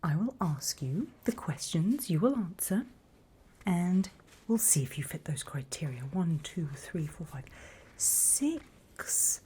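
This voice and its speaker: A sly British demoness, acted by a woman, speaks in a very breathy, husky murmur that often turns to whisper. Her voice is low and soft-edged. She is unhurried, teasing and condescending, in the style of an ASMR roleplay. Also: animated, full character voice. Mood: smug, sly. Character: sly, mocking female demon who trades in purchased souls.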